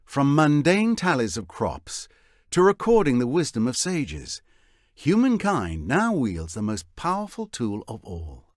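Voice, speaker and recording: A man delivering scripted cinematic narration for a game, with a wry, deliberate storyteller's manner. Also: mid-range voice, wildly swooping pitch, dry recording, clean studio sound. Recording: voice over prominent music score.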